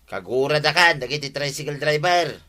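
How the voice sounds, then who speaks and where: An actor playing a mild but chiding husband in a village radio comedy drama, speaking with an Ilocano accent. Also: male voice, on the high side, moderate pace, sharp tone.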